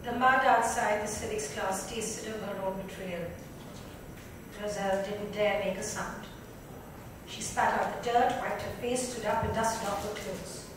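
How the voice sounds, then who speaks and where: A woman's voice: mid-range voice, steady tone, unhurried. A woman giving a dramatic reading of literary fiction. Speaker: book reader. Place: indoor club lounge. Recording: echoey room, distant mic, background noise.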